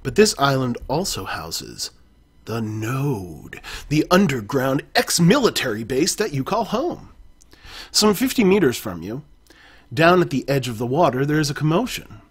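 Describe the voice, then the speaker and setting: A man's voice: mid-range voice, very animated, unhurried, slightly rough. A man reading an interactive adventure story aloud in the second person, stopping now and then to correct himself. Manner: second-person narration, occasional self-corrections.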